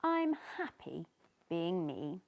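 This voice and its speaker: A woman's voice: on the low side, big pitch swings, very slow, heavily acted. A woman voicing a timid, sweet and earnest little fish in a children's storybook. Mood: happy, grateful.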